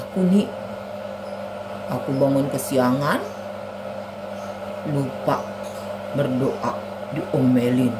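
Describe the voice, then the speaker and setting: A woman acts out a sullen schoolboy puppet in a children's skit, pitched well down from her usual voice. He grumbles in a slow, bored way, with clear noise in the background. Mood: sullen, grumbling.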